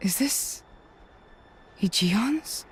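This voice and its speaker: A woman's voice: mid-range voice, expressive, very slow, background noise. A woman playing a dutiful imperial inquisitor in a video game, speaking in a formal, earnest, restrained way. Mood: earnest protest. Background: string music.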